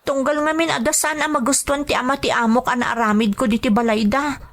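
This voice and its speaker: A woman's voice: high-pitched, steady tone, fast talker. A woman with a Filipino accent playing a patient, anxious young maid working abroad in a radio drama. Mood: worried, reassuring. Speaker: drama actor. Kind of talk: radio drama acting and letter narration.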